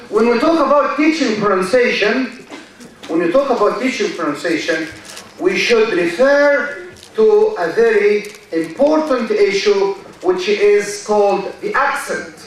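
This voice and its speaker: A high-voiced man with an Egyptian accent lectures at a deliberate, unhurried pace, repeating key words and putting questions to his class. He is recorded from a distance in a large, very echoey hall. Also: lively intonation, slight background noise. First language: Arabic. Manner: slow, repeats key words, quizzes students. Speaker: university lecturer. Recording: echoey hall, mic far off.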